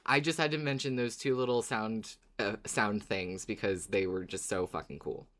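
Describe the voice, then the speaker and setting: A woman co-hosting a podcast in casual fan chat, expressive and enthusiastic, with some swearing. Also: extremely low pitch, moderate pace. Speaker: podcast co-host.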